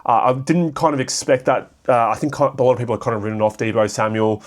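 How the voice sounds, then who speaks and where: A young Australian man with a raspy voice from a cold, casually talking through card sale prices with lots of fillers. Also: medium pitch, steady tone, fast talker. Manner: rambling, filler-heavy, thinking aloud. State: hoarse from a cold. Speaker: card shop vlogger. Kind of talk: casual solo commentary.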